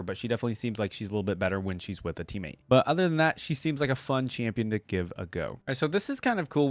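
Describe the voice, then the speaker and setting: A man's voice: mid-range voice, no echo. A man giving casual reaction commentary as a video host, conversational and thinking aloud.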